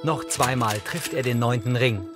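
A man's voice: medium pitch, moderate pace. Matter-of-fact male narrator over music. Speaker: audio description narrator. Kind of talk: scripted scene narration.

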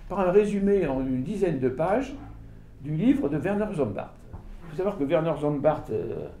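An older man giving an informal talk about his book to an audience, with halting delivery, lots of fillers and restarts, recorded from a camera across the room. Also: on the high side, sing-song, warm tone, slight background noise. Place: small room with audience, indoors. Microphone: far camera, no mic seen. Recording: echoey room from far off.

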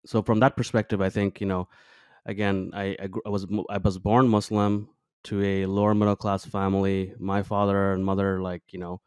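A man's voice: low voice, level tone, moderate pace, a little mellow, clean tone, dry recording, clean studio sound. A man in his thirties from Bangladesh, a podcast guest talking reflectively, searching for words with many fillers and restarts. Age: 30s. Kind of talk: reflective interview talk. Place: small room with plant and guitar on wall. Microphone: headset with studio mic on arm.

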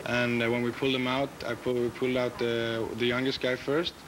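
Flat-voiced ship officer, man. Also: medium pitch, fairly quick. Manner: flat, hesitant with many fillers. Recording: noisy outdoor interview.

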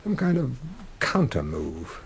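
An actor playing an eccentric older English intellectual, halting and donnish, in a radio drama. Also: male voice, mid-range voice, huge pitch swings, vocal fry, slight background noise, heavily acted. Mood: worried. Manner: halting, donnish, rhetorical questioning.

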